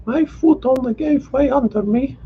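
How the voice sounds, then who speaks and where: Guy doing a high soft young wife voice, gentle n reassuring, storybook reading, dull faint hiss. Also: moderate pace.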